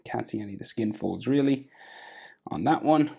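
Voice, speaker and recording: An Irish man with a gravelly, husky voice, casually talking through a drawing lesson step by step and trailing off quietly as he works. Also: medium pitch, moderate pace. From Ireland. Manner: relaxed, thinking aloud while drawing, trailing off quietly. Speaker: drawing tutor.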